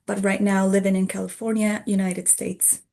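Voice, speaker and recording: A woman introduces an online program in a measured, thankful way. Her voice sits at a middle pitch, and she speaks into a video call. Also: level tone, vocal fry, warm tone. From Costa Rica. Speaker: trainer and co-host. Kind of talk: scripted event hosting.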